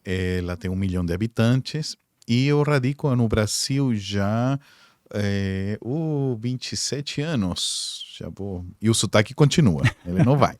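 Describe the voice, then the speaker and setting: Peruvian guy in his 40s, spanish accent, lowish voice, dry close sound. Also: unhurried, no echo. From Arequipa, Peru. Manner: steady, with small hesitations and light humor. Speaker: guest, designer and writer.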